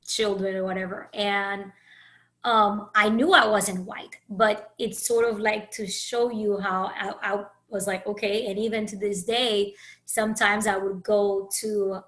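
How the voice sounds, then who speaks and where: Brief: woman from Haiti, podcast host, bright clear voice, earnest and searching, restarts often. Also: medium pitch. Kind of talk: reflective solo podcast monologue.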